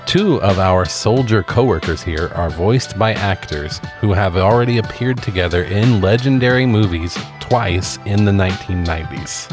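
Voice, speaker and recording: A man with a deep, low-pitched voice chats as a podcast co-host over an audible music bed. His talk is casual film review banter, informal and peppered with swearing. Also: moderate pace, few pauses. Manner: informal, profane.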